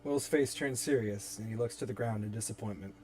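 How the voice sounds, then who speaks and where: A man's voice: on the low side, brisk, darkish tone, faint music behind. A man reading stage directions as narrator in a dramatic screenplay table read. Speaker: narrator and reader.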